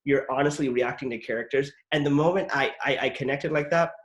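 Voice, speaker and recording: A man's voice: mid-range voice, fairly quick. Rambling man telling acting stories. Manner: rambling, heavy use of "like" and restarts. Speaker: podcast host and actor.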